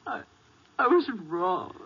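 Tearful, slow-spoken grieving daughter. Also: female voice, medium pitch, sing-song, vocal fry, husky, heavily acted. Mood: tearful regret. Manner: tearful, halting, pleading. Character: heartbroken devoted grown daughter of an old man. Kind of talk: 1950s radio drama acting. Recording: muffled vintage 1950s radio recording.

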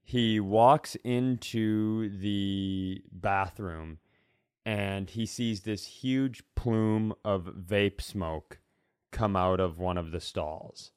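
A man's voice: lowish voice, extremely slow, darkish tone, clean tone, no echo, studio quality. An American man tells a funny anecdote in a casual, profane podcast style, acting out bits of dialogue as he goes. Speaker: comedy podcast co-host. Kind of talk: casual comedic storytelling.

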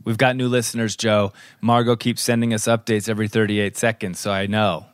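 A man's voice: on the low side, little pitch movement, a little fast, a little hiss or hum. A man co-hosting a podcast in casual chat, teasing and playful, laughing often. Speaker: podcast co-host.

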